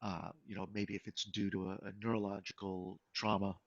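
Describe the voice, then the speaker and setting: A man who is a guest expert on a podcast, speaking calmly and affirmingly in a casual interview. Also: low-pitched, brisk, dry recording, dull audio. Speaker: guest researcher and clinician.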